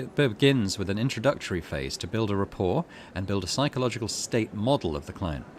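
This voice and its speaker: A man narrates and comments on a story game as a channel host. His voice sits on the low side but moves in a very animated way. Musing asides break up the reading, over faint background ambience. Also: slight background noise. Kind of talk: let's play commentary and reading game text aloud.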